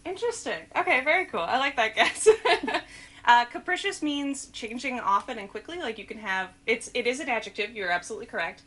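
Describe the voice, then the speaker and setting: A woman's voice: fairly high pitch, lively intonation, fairly quick, a bit bright. A woman hosting a casual language quiz video, chatty and upbeat, with frequent laughter in her talk. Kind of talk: casual game-show presenting and chat.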